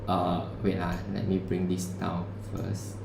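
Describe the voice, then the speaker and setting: Casual lecturer with a low voice. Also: male voice, completely flat delivery, slow pace, vocal fry. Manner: casual, conversational teaching with local particles. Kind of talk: livestreamed university lecture. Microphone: streaming setup mic. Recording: echoey room, faint background hum.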